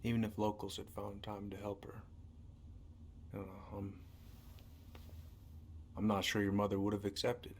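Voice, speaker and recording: An actor playing a gruff, weary middle-aged American lawman in a naturalistic game drama. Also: on the low side, completely flat delivery, moderate pace, pauses often, natural voice. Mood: weary, regretful. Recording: noisy game capture with hum.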